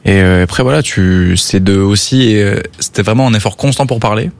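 A man's voice: lowish voice, a little fast, creaky, no room sound. A young man, a singer, talking in a radio interview, hesitant with fillers and restarts. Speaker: singer guest.

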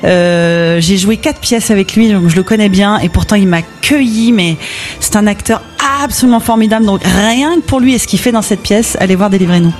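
A woman actress guest on a radio show, thoughtful and hesitant, pausing often with fillers. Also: mid-range voice, darkish tone, faint music behind. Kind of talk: casual chat.

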